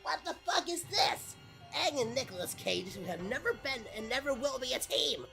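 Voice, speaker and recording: A man voicing an angry young cartoon hero in loud, exasperated outbursts for a comic story reading. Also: very high-pitched, lively intonation, moderate pace, gravelly, music in the background, pitched above the performer's own voice, full character voice. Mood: angry disbelief.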